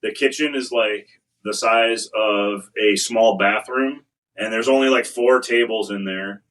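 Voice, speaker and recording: A young man in a band, talking casually in a rambling, halting way and swearing freely. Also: fairly low pitch, steady tone, a bit bright, distant mic, low-quality recording. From United States. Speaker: band member. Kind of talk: casual chat. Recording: voice picked up from across a room.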